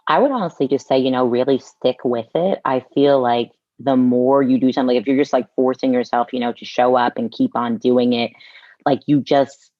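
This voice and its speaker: A woman's voice: rumbling low, fairly even intonation, fairly quick, no echo. A woman interview guest chatting casually, informal, upbeat and encouraging, over dull-sounding call audio. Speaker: podcast guest, fitness business co-founder.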